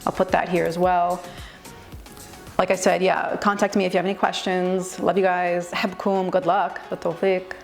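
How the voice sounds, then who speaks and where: A woman's voice: fairly low pitch, little pitch movement, a little fast, vocal fry, soft background music. A young woman, a native English speaker, giving candid beginner tips in a chatty vlog, laughing easily and using many fillers. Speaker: vlogger and language tutor. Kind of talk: casual advice vlog.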